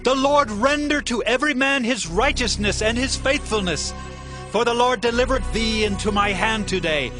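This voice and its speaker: A man's voice: very high voice, normal speed, music in the background. A man playing an earnest, loyal young warrior in a dramatized scripture recording, speaking formally and declaiming his lines. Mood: solemn appeal.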